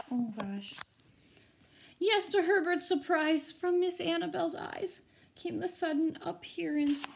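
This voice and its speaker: A woman's voice: high voice, a little hiss or hum. A woman teacher reading a picture book aloud to children expressively, with chatty, informal asides.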